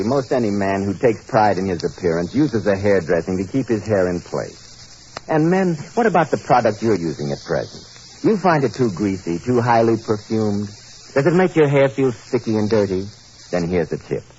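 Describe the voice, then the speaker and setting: A male announcer in the 1940s radio manner, swinging widely in pitch as he delivers polished, emphatic sponsor copy. The old recording is muffled and a little hissy. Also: sing-song, moderate pace, darkish tone.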